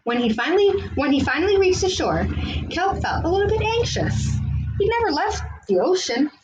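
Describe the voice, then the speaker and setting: A woman host reading a children's picture book aloud in an expressive storytelling way, with drawn-out dramatic phrasing. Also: very high-pitched, muffled recording, noisy background.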